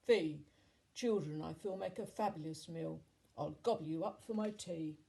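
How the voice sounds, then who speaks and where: A greedy, grouchy giant voiced by a woman storyteller who chants and grumbles loudly about his dinner. The tone is round and mellow, with heavy emphasis on each booming word. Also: moderate pace, creaky, a little hiss or hum. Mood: hungry, menacing. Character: grumpy, greedy, child-eating male giant.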